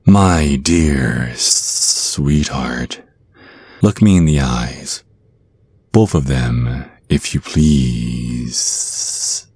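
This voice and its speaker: A man's voice: very deep voice, very slow, darkish tone, slightly rough, no echo, exaggerated voice. A man playing a menacing vampire naga kidnapper in an ASMR roleplay, coaxing and mock-polite. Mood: coaxing, hypnotic. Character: menacing, smooth-talking vampire naga brother, male kidnapper.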